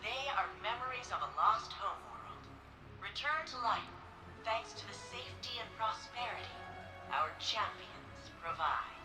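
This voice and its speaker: A woman playing a devoted, wry archivist in a video game, speaking in measured, articulate phrases. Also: medium pitch, close to an everyday voice. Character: wry, devoted female archivist of a sci-fi city's heroes. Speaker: archivist and memorial guide. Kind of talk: scripted video game voice acting. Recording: echoey room sound. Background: clear music.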